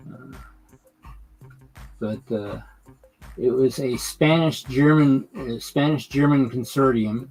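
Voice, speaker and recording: A man live streaming his hobby, talking casually and haltingly while he works on a model layout, with lots of fillers and restarts. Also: medium pitch, darkish tone, faint music behind, low-quality recording.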